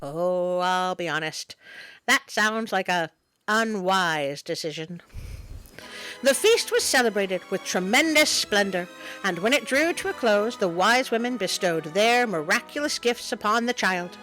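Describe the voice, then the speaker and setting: A woman's voice: mid-range voice, lively intonation, unhurried, a bit bright, faint music behind, low-quality recording. A woman hosting a story show, reading a tale aloud with playful, wry asides.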